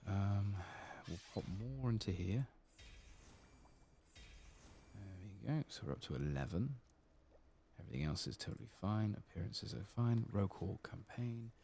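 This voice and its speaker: Soft spoken asmr gamer guy, really low voice, lots of vocal fry, murmuring to himself close to the mic. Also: lively intonation, measured pace, long pauses, a little hiss or hum. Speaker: gameplay streamer.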